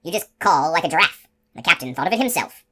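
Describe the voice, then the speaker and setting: A woman voicing a simple-minded male outlaw sentry with a high, trembling, cheerfully obliging voice, for an audiobook. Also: expressive, fast talker, fairly bright tone.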